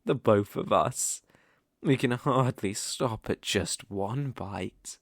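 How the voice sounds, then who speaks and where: A man's voice: on the low side, takes it slowly, dry recording, clean studio sound. A British man playing a suave, possessive vampire count in an intimate ASMR roleplay, teasing and commanding in theatrical, old-fashioned phrasing. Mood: seductive, amused.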